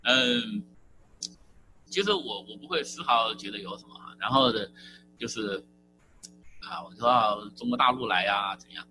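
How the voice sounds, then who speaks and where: A man preaching a sermon in a church, in a lively, conversational style with frequent fillers. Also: mid-range voice, moderate pace, long pauses, over music, low-quality recording.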